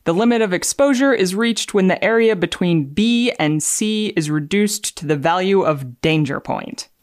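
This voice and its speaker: A woman's voice: lowish voice, lively intonation, normal speed, dry recording, studio quality. A woman engineer speaking as a guest, explaining and reading aloud. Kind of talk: explanatory talk with dramatic readings.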